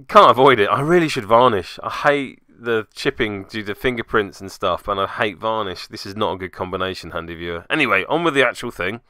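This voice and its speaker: A man's voice: low voice, sharp tone, no room sound, studio quality. A man hosting a tabletop game web series, giving chatty voice-over commentary with wry, cheeky asides. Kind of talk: casual scripted-feel commentary over game footage.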